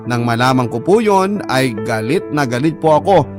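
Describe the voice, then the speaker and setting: A man's voice: mid-range voice, lively intonation, normal speed. A man reading a listener's heartfelt letter aloud as earnest, polite scripted narration. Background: background music.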